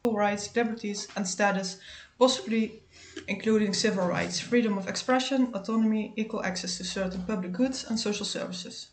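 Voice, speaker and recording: A woman's voice: medium pitch, normal speed. A young woman hosting a student podcast, reading formally from a script. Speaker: podcast host. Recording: roomy, faint background noise.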